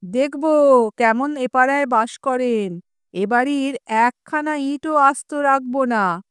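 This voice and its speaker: A woman voicing an agitated, newly rich middle-aged man who complains loudly and indignantly in an audio story. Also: sits high, takes it slowly, smooth, dry recording, studio quality. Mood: agitated, outraged.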